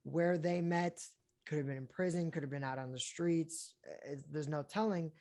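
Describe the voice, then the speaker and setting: A young adult man, an actor being interviewed, who talks in a relaxed, chatty way with lots of fillers. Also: a little high, normal speed. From Colombia, raised in Florida and Houston. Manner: relaxed and chatty, with many fillers and easy laughs. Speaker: actor guest. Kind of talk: casual interview talk.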